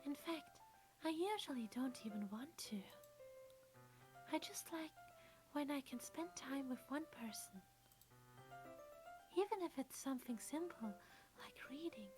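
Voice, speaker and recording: Woman voicing a shy, whispery, gentle teenage girl. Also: high-pitched. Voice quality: soft, breathy, near whisper. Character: shy, gentle teenage girl who loves quiet company. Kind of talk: visual novel voice-over.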